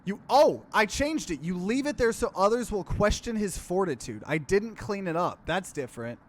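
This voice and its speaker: A man's voice: high voice, big pitch swings, moderate pace. A man doing a let's play, reading visual novel narration aloud and dropping in casual, offhand commentary. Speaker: streamer, let's player.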